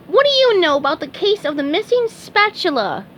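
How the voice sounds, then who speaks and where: A woman puts on a shrill, overexcited young sponge fry cook from a storybook, pitched very high and swooping all over. He sounds eager and breathless with enthusiasm. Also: very animated, normal speed, slight background noise. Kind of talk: storybook read-aloud.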